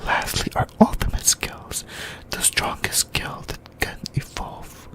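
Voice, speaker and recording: An ASMR presenter speaks in a hushed, breathy whisper. The delivery is very slow and deliberate, broken into short, halting phrases as it explains things to listeners. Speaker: host of an anime discussion video.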